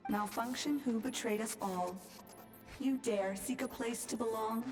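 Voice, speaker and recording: Woman voicing a high-voiced, scornful accuser. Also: unhurried, music in the background, close to an everyday voice. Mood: scornful, suspicious. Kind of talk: anime game voice acting.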